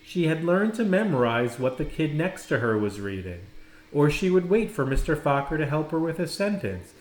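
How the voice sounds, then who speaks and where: A man narrating a children's storybook expressively, with dramatic, deliberate phrasing and pauses. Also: on the high side, big pitch swings. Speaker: storybook reader. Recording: roomy, echoing indoor sound.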